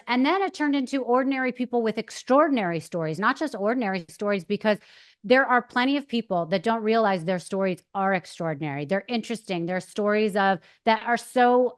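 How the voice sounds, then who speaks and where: A woman hosting a podcast in casual chat, encouraging and talkative. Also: mid-range voice. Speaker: podcast host.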